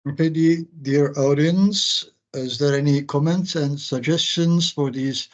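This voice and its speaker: A man moderating questions after an academic seminar on a video call, polite and deliberate. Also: a little high. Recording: muffled video call audio.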